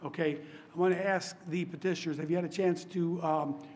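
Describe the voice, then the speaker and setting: A man chairing a public commission meeting, hoarse from laryngitis, running votes in a steady, polite, procedural way. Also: on the high side, brisk, slight background noise. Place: council chamber.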